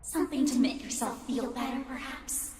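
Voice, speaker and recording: A woman's voice: high voice, normal speed, background noise, lightly acted. An actress playing a spiteful female apparition in a video game, mocking and theatrical, echoing over music. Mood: taunting. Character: taunting, spiteful female apparition in mirror shards.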